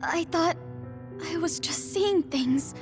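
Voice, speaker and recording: A woman's voice: very high voice, takes it slowly, music in the background. An actress playing a shaken, timid young female soldier in a video game cutscene. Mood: horrified.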